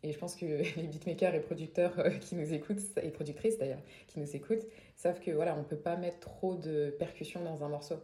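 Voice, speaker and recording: A young woman with a Parisian accent, a singer talking casually as an interview guest, relaxed with frequent laughs and fillers. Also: sits low, brisk. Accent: Parisian French. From French Caribbean family. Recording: echoey room.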